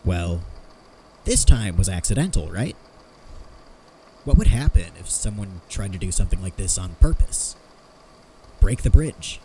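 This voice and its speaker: Man acting an animated, rambling eccentric inventor. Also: low-pitched, slight background noise, rough recording. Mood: flustered. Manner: halting, rambling, animated explaining. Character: eager rambling inventor cheerfully cataloguing fears, sheepish about deaths. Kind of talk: podfic audio drama acting.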